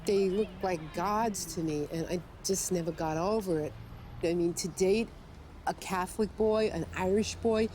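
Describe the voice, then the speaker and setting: A woman's voice: fairly low pitch, normal speed, a little mellow, slight background noise. An older woman from New York speaking frankly and thoughtfully in a reflective documentary interview. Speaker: interviewee recalling youth. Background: music.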